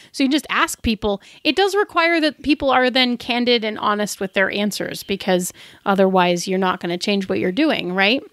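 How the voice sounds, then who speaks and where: A woman's voice: mid-range voice, a bit bright, no room sound, studio quality. A woman co-hosting a podcast, explaining things in a casual, conversational style. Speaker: podcast co-host. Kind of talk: casual explanatory chat about tabletop gaming.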